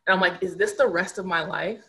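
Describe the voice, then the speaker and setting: A woman's voice: lowish voice, a little fast, pushed and bright, poor audio. A young adult woman speaking candidly as a podcast guest, earnest and reflective, repeating words for emphasis. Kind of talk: candid conversational storytelling.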